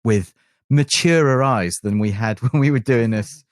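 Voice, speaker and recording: A man's voice: mid-range voice, unhurried, dry recording, clean studio sound. A middle-aged man, chatting reflectively and agreeably as a musician in an interview. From England. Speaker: band keyboardist, interview guest. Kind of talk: casual interview talk.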